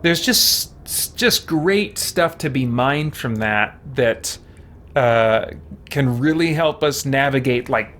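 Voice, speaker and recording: A man's voice: mid-range voice, a bit bright, slight background noise. A man hosting a game live stream, thinking aloud in casual, easygoing commentary and chatting with viewers.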